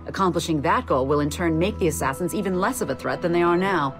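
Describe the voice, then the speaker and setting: Game boss figure, played by a woman: commanding corporate chief, slightly low voice, formal and clipped, scored with music. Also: fast talker, natural voice. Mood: cool authority. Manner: curt, authoritative, formal. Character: commanding, cool female corporate Templar superior, unyielding. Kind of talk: scripted video game dialogue. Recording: music behind.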